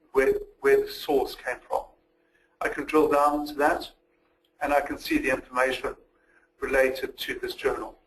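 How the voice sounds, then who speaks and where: A man giving a methodical, step-by-step walkthrough as a software demonstrator in a recorded webinar. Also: mid-range voice, rough recording. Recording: noticeable background noise.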